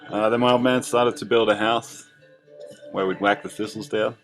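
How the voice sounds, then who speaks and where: An Australian man in his young adult years talks in a low, gravelly voice with a fairly flat delivery. He tells his story in a relaxed, rambling way, full of little fillers and restarts. Faint music plays underneath. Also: moderate pace. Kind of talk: casual narration over drawings.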